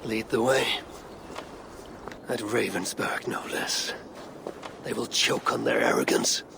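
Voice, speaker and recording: An actor playing a stern, menacing Norse Viking warrior in a video game cutscene. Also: male voice, lowish voice, slow pace, vocal fry, noisy background, heavily acted. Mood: resolute.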